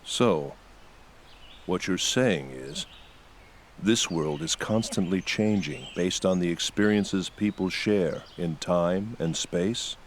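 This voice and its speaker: A man playing a grave, stoic character who speaks deliberately and solemnly in a sci-fi game dub. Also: sits low, slight background noise. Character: grave, stoic deep-voiced man of few words.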